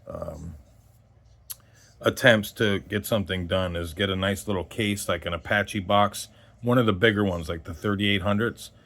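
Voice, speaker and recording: A man's voice: fairly low pitch, a bit bright. A man in his forties giving a casual, unscripted gear review as host, in a rambling conversational way that trails off mid-thought. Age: 40s.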